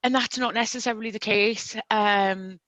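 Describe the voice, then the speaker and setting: A woman with a Geordie accent, a recruitment consultant, talking about her work in a friendly, steady way. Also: medium pitch, moderate pace, no room sound. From Newcastle, England. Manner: friendly, explains steadily with fillers. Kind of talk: casual podcast interview.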